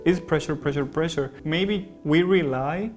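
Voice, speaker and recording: A man's voice: on the high side, a little slow, music in the background. A man from Spain, a panel guest, talking openly and reflectively about his own experience. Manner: open, reflective. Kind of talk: candid personal discussion.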